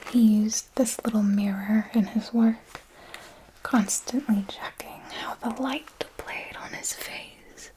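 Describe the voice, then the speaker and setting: A woman playing an ancient female vampire mentor in an ASMR roleplay, speaking in a breathy whisper with a slow, deliberate, intimate and seductive manner. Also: fairly high pitch, a little mellow, rough recording.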